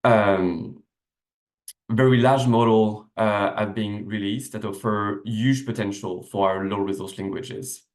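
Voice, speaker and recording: A man gives a seminar talk from his desk over a video call. His voice sits a little low, and he explains things step by step, with plenty of fillers and restarts. The laptop mic picks up a noticeably echoey room around him. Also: fairly even intonation, takes it slowly. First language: French. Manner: explanatory, with frequent "uh" fillers and self-corrections. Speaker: researcher giving a seminar talk. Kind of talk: academic lecture over slides.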